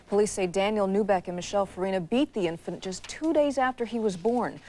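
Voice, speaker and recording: A woman's voice: mid-range voice, fairly quick, a little mellow. An American woman presents scripted news. Her voice is in the middle range for a woman, in broadcast newsreading style.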